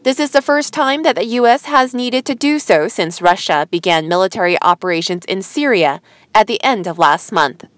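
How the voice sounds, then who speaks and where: A woman host reading scripted news headlines in a formal, measured newsreader manner. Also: a little high, no room sound.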